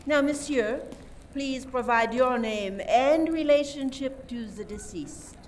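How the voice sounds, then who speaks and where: A suspicious detective, played by a woman questions in a deliberate, theatrical way for a radio drama. The voice is fairly high and very unhurried, picked up at some distance from the microphone. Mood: probing, skeptical. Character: suspicious French detective methodically questioning suspects. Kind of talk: community theater radio play acting. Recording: distant microphone, faint background noise.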